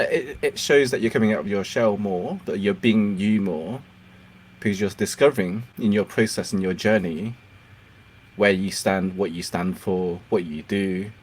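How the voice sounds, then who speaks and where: A man's voice: lowish voice, normal speed, a little mellow, a little hiss or hum. A man whose first language is Cantonese, hosting a casual interview, encouraging and laughing often. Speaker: podcast host.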